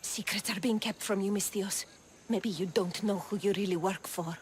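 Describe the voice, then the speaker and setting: An actress playing a sly, fearful informant in a video game, hushed, secretive and teasing. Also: female voice, mid-range voice, normal speed, slight background noise, close to an everyday voice. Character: cryptic, furtive female informant, sly and fearful. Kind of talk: video game cutscene acting.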